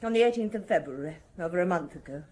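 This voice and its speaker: An actress playing an elegant, nervous, middle-aged wife in a radio drama, terse and brittle with a haughty, bitter edge. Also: female voice, on the low side, lively intonation, quick pace, mellow, a little hiss or hum, lightly acted.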